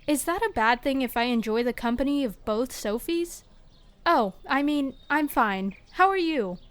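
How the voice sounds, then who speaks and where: Eccentric lab spokeswoman played by a woman, high crystal-clear voice, courteous, formal and oddly literal, for scripted audio drama. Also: lively intonation, moderate pace. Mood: serenely odd. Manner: formal, precise phrasing. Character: odd, polite laboratory spokeswoman, serenely literal and eccentric. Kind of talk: scripted audio fiction acting.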